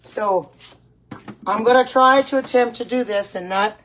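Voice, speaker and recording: Hoarse woman chatting while cooking. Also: fairly high pitch, a little slow, fairly bright tone. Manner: chatty, repeats herself, greets viewers. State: hoarse voice, clearing throat at times. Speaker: host of a live home cooking stream. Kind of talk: casual live cooking demonstration chat. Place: home kitchen.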